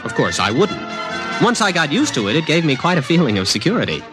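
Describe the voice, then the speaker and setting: A wry, skeptical young college student in a vintage radio drama. His talk is conversational, with a lively rise and fall in pitch. Music plays clearly behind him. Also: fast. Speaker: narrator and lead. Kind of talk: 1950s radio drama acting. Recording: studio with clear background music.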